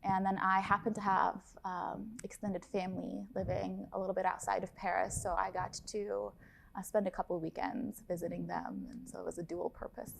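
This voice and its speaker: Gravelly-voiced upbeat woman in her thirties. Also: mid-range voice, flat delivery, moderate pace, a little hiss or hum, poor audio. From Lebanon. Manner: upbeat, enthusiastic, chatty with fillers. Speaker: study abroad advisor. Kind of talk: casual interview talk.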